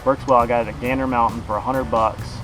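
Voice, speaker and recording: A man in his thirties with a mid-pitched voice talks through a gear review in a loose, folksy way. He is outdoors in a yard, recorded by a camera a short distance away, with music in the recording. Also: fairly even intonation, quick pace. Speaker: kayak fishing vlogger, product reviewer. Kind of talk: casual unscripted product review to camera. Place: outdoors in a grassy backyard beside a shed. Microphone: camera a couple of metres away.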